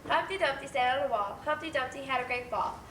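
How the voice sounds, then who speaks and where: Echoey distant stage recording, woman playing earnest high-pitched lady reporter for fairy tale news. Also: fast, a bit bright. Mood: reciting. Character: earnest female nursery-rhyme news reporter. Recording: echoey stage, distant mic, faint noise.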